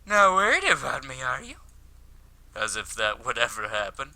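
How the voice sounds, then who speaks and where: A man voicing an awkward, lovestruck high school boy, wry and self-deprecating, in a visual novel. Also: very animated, moderate pace, gravelly, sharp tone, heavily acted. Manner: wry, flustered. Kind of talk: visual novel character read aloud.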